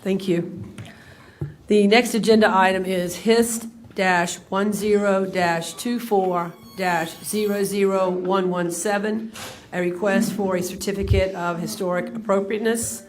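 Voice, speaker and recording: A woman's voice: mid-range voice, fairly even intonation, a little slow, a little hiss or hum. Woman chairing a public meeting. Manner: formal, procedural, with fillers. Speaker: commission chair. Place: county meeting chamber. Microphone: table microphone.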